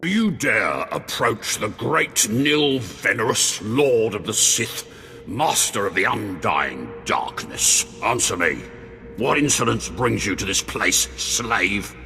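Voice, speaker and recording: An actor playing an anxious former slave posing as a grandiose Sith lord in a video game, husky, theatrical and faltering. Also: male voice, fairly low pitch, big pitch swings, slow, bright, forceful tone, soft background music, exaggerated voice. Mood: grandiose, menacing. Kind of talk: video game cutscene acting. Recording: long hall reverb.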